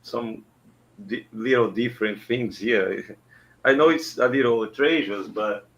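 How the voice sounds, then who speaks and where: A man from Brazil with a Brazilian Portuguese accent, speaking as a caller in a casual chat in halting English and searching for words. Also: mid-range voice, fairly bright tone. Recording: dull lo-fi line.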